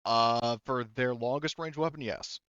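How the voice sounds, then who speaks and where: A man running a tabletop game as game master, casually talking through rules in a halting, thinking-aloud way. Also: mid-range voice, fairly bright tone, clean tone, dry recording, muffled recording.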